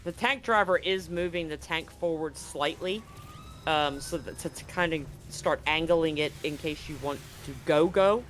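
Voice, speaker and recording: A man acting as game master, narrating and explaining a tabletop scene in a casual, halting way that often rephrases. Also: a little high, a bit bright, soft background music.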